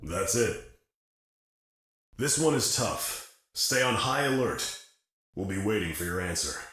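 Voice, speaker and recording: An actor playing a formal military officer who gives clipped, instructive mission briefings to mercenary pilots in a video game. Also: male voice, lowish voice, big pitch swings, unhurried, fairly bright tone. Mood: businesslike, serious. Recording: very echoey voice over music. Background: music.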